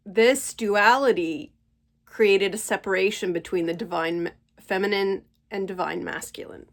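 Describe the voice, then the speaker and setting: Slow-spoken woman, stuffy from a cold. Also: mid-range voice. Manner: gentle, unhurried, thoughtful pauses. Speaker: channel host. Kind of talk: slow reflective spiritual monologue. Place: at home.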